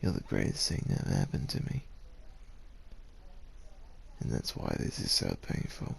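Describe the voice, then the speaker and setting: Man voicing a very deep, gravelly dragon lover. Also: huge pitch swings, a little slow, a little hiss or hum, heavily acted. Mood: sorrowful. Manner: tender, earnest, pleading. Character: devoted male dragon lover, tender and sorrowful. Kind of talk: intimate ASMR roleplay.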